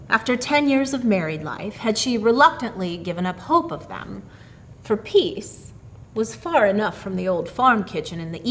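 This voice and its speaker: Animated, higher-voiced woman storyteller. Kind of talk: expressive story reading.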